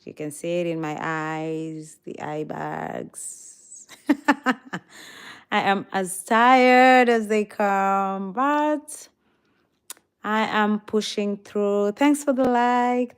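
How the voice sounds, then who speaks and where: Slow-paced tired young woman, casual livestream host chatting with viewers. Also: mid-range voice, fairly even intonation, clear, resonant tone. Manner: reads out chat comments and greets viewers.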